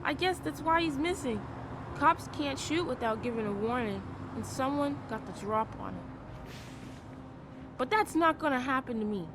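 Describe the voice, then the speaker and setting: Game role: stubborn wounded kid, high youthful voice, sullen edge, noisy street background. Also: female voice, lively intonation, moderate pace. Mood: sullen defiance. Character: defiant, hurt child of a missing policeman.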